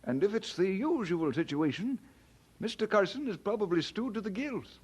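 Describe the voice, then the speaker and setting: Young male minister: high husky voice, humble, earnest, expressive swings, radio drama. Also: normal speed. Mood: earnest, compassionate.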